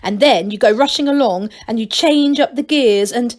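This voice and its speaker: Woman as young male gnome, storybook character, high, eager, proud, urgent. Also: moderate pace, darkish tone, close to an everyday voice. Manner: eager, breathlessly enthusiastic.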